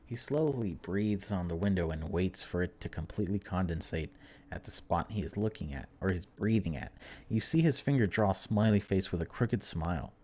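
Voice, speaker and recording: Low-voiced man reading through a game casually. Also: animated, moderate pace, darkish tone, husky. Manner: informal, reacts aloud between read passages. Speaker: gaming video host. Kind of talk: casual let's play, reading game text aloud with commentary.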